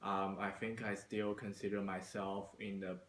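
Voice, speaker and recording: Man from China, student, slow hesitant speech, flat low voice, echoey room. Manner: slow, hesitant, many fillers. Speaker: university student. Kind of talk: interview answers.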